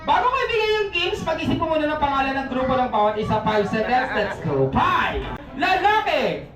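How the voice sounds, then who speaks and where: A woman with a Filipino accent announcing party games, animated and bantering with the guests. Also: high voice, moderate pace. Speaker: children's party host. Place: crowded fast-food restaurant party. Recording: echoey, distant, loud party music and crowd.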